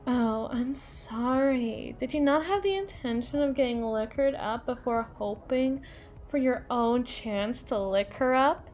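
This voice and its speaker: A woman's voice: a little high, darkish tone, soft background music, natural voice. A woman playing a tipsy, haughty, melodramatic female boss in a flirtatious ASMR roleplay, theatrical and given to playful wordplay. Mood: teasing, boozy. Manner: theatrical, drawn-out, playful wordplay, slipping into breathy whispers. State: acting tipsy.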